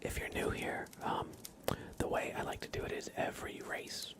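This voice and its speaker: A man hosting an ASMR livestream, chatting casually and gently with viewers in a soft, breathy whisper. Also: normal speed. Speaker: live ASMR streamer and host.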